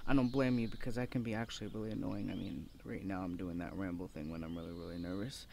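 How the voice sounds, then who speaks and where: A man's voice: low voice, steady tone, quick pace, gravelly, mellow, slight background noise. A young man playing a shy, nerdy college student in an ASMR roleplay, hesitant and flustered as he rambles nervously. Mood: nervous rambling. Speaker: voice actor. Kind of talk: ASMR boyfriend audio roleplay. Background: birds and outdoor ambience.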